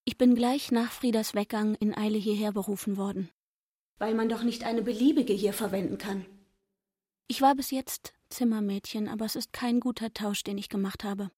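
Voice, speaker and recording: An actress playing a young barmaid in a radio play, frank and gossipy, talking in a chatty, confiding way. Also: female voice, mid-range voice, fairly even intonation, normal speed, mellow, breathy edge, lightly acted. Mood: weary, complaining.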